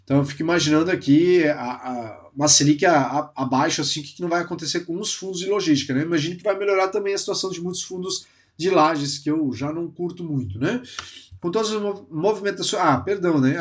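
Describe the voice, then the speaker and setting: A man from Brazil talks through a report in a relaxed, conversational way, speaking a little stuffily because he has a cold. His voice sits slightly higher than most men's. He keeps tagging his sentences with quick filler checks. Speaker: finance YouTuber. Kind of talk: casual commentary on a report.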